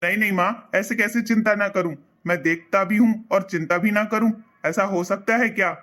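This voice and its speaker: A man's voice: high-pitched, normal speed, bright, forceful tone. An actor voicing an earnest young son in a cartoon, reassuring his parents in a heartfelt way. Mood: pleading.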